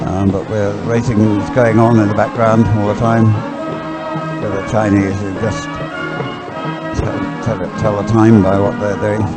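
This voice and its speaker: A man's voice: lowish voice, level tone, normal speed, over loud music, rough recording. A man giving informal live race commentary, hesitant and often repeating himself as he thinks aloud.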